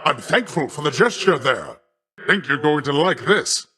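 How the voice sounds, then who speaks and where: Boastful old sea god uncle, video game style, theatrical and grand, voice a bit on the high side for a man. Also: lively intonation, normal speed, pushed and bright. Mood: hearty, jovial. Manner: pompous, theatrical, grandly old-fashioned wording. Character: boisterous, boastful sea god, an older uncle, pompous yet affectionate. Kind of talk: video game character voice acting.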